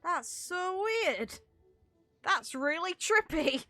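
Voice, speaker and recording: Bright-voiced woman streamer, thinking aloud. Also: extremely high pitch, very animated, extremely slow, no echo, soft background music. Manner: rambling, thinking aloud, mildly sweary. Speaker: game streamer. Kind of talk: casual live reaction commentary.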